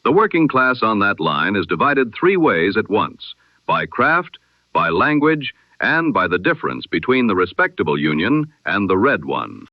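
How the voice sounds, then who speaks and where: Low-voiced man reading a vintage-style news bulletin. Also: sing-song, normal speed, no echo, lo-fi sound. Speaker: news presenter. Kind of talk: scripted news bulletin styled as 1920s radio.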